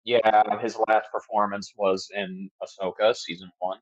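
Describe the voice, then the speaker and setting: A man's voice: fairly low pitch, level tone, unhurried. A man chatting casually as he co-hosts a watch-along commentary. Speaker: show host. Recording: slightly dull, lo-fi microphone.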